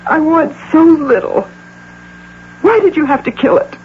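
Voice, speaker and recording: Casting: proud middle-aged female executive guarding a fantasy, high-voiced, impassioned, theatrical; vintage radio drama with muffled, hissy sound. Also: big pitch swings, a little slow. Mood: wounded. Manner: theatrical, impassioned, rhetorical questioning. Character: proud, anguished aging businesswoman clinging to fantasy. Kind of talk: old-time radio drama acting. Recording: dull, noisy old radio broadcast.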